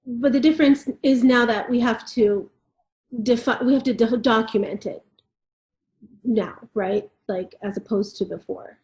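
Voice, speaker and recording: Woman, fairly high voice, co-host, reading aloud and discussing, echoey room. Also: measured pace, a little mellow. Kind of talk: read-aloud and informal discussion.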